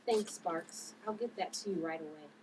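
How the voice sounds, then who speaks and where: A woman's voice: on the low side, moderate pace, lightly acted. A woman at a table read playing an earnest, principled church-goer who acts as a vigilante. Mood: pleading. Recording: distant mic, noisy room.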